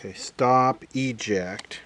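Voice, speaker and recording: Man, unhurried, grumbling repair commentary, medium pitch, faint background noise. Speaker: host, electronics repairer. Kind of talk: casual hands-on repair commentary, thinking aloud. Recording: clear background noise and hiss.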